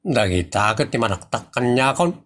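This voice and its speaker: A man playing a hot-tempered, stubborn man in a comedy audio drama, with an Ilocano accent and a husky, excitable, stammering delivery. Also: mid-range voice, moderate pace.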